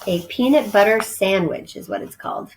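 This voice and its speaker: A woman with a medium-pitched voice reads a storybook to children. She is expressive and explanatory, like a teacher checking that young listeners follow each word. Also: normal speed, creaky, rough recording. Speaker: teacher reading aloud to children.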